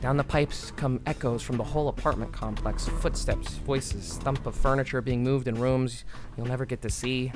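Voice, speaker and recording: A man's voice: medium pitch, fairly even intonation, normal speed, over music, close to an everyday voice. A man reading aloud the lines of a sleep-deprived young woman who plans a crime, in a melodramatic, menacing way. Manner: melodramatic, menacing read of game text.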